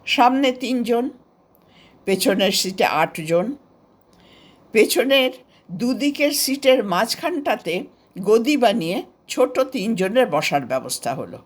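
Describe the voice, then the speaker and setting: A woman with an Indian Bengali accent narrating a scripted story of memories in an expressive, unhurried storybook manner. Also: fairly high pitch. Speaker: author narrating her own story.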